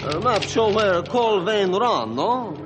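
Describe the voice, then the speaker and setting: A man's voice: high-pitched, expressive, slow, background noise. A man playing a loyal lumberjack boss with a French accent and broken English in a radio adventure drama. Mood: easygoing.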